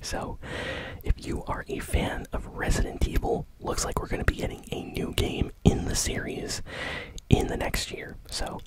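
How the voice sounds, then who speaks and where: A man whispering casual gaming news as an ASMR host, breathy and informal, rambling a little. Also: moderate pace, rough recording.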